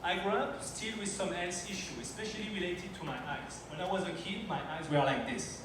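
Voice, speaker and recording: A man in his thirties from France gives a timed talk in English, his second language, telling his own story with slightly non-native phrasing. His voice is a bit high for a man. He is picked up from a distance in a very echoey event hall with clear noise in the background. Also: brisk. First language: French. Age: 30s. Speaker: conference speaker. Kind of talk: timed slide presentation. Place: event hall at a launch party.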